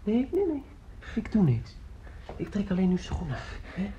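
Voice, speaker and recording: An actor in a radio drama playing a caring but sometimes gruff man who speaks in short, plain, repeated phrases. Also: sits high, wildly swooping pitch, normal speed, mellow, highs cut off, a little hiss or hum. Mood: gently firm. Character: caring man, gruff when hurried, soothing a drunk old man.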